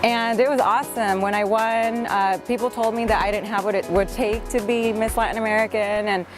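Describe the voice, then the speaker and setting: A woman's voice: medium pitch, steady tone, brisk, over music. Young woman, bilingual, earnest and upbeat, mid-range voice, casual interview delivery. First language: Spanish. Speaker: reality show contestant. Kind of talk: audition tape interview.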